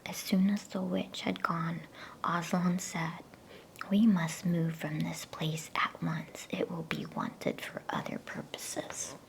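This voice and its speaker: Slow, whispering woman reading ASMR bedtime stories. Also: lowish voice, low-quality recording. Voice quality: breathy, unvoiced whisper. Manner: soothing, unhurried, gentle. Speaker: ASMR bedtime story reader. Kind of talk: whispered ASMR reading aloud of a children's novel.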